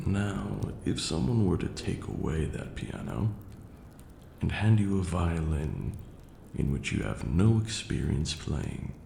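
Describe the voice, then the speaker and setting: A man playing a stern, aloof vampire lord in an ASMR roleplay, speaking in a formal, haughty way with a gravelly, breathy near-whisper. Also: low-pitched, big pitch swings, warm tone, heavily acted. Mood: patient, instructive. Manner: formal, haughty, slow scolding lecturing delivery. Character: stern aloof ancient male vampire lord, grudgingly caring. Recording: roomy echo, clear background noise.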